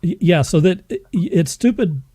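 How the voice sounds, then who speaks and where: A man's voice: high voice, big pitch swings, normal speed, mellow, slightly rough, no room sound, clean studio sound. A man hosting a podcast in casual, chatty banter. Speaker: podcast host.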